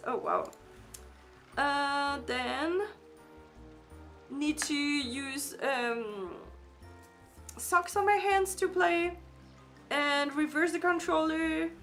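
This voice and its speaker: A high-pitched woman with a fried, gravelly texture talks casually to her live-stream audience. English is not her native language, and she rambles through fillers and restarts while reading chat. Light game music plays behind her. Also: sharp tone. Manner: chatty, reads chat aloud, frequent fillers and restarts. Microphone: desk microphone.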